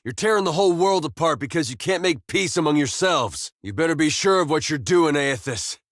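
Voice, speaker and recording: A man's voice: fairly low pitch, animated, moderate pace, no echo, lightly acted. A man playing an easygoing, loyal farm-boy soldier in a video game, with an American accent and a folksy, plainspoken, wry manner. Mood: angry, reproachful. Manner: folksy, laid-back drawl, dry humor. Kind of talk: scripted video game dialogue.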